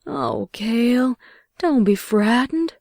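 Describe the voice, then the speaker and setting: A woman's voice: fairly high pitch, very slow, creaky, a little mellow, no room sound, studio quality, heavily acted. A woman voicing a caring, protective big-sister farm pony from a cartoon, folksy, with a Southern US accent. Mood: welcoming.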